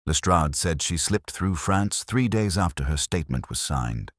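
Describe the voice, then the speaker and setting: A man voicing a brooding, sharp-minded Victorian gentleman detective in an audiobook, speaking in terse, deliberate, formal phrases. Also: deep voice, expressive, dry recording, clean studio sound. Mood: wry, reflective.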